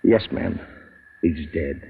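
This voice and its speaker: A man's voice: rumbling low, takes it slowly, slightly rough, heavily acted. An actor playing a respectful, apologetic policeman in a 1940s radio drama, speaking hesitantly and deferentially. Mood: sombre, apologetic. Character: apologetic, respectful policeman bearing bad news. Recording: tinny, hissy old radio recording.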